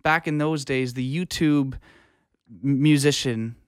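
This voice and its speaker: Smooth-voiced young man chatting casually. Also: mid-range voice, fairly even intonation, unhurried, no echo, clean studio sound. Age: young adult. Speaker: interview guest, audio engineer. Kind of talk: casual conversational storytelling.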